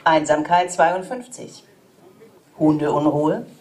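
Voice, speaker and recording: A woman reading German translations of poems aloud in a measured, even way. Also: deep voice.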